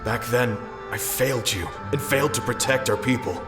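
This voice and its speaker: A man voicing the ghost of a loyal old soldier in a video game, speaking slowly and apologetically. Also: medium pitch, lightly acted. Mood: remorseful. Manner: slow, faltering, apologetic. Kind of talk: video game cutscene voice acting. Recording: echoey, loud game music. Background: loud game score.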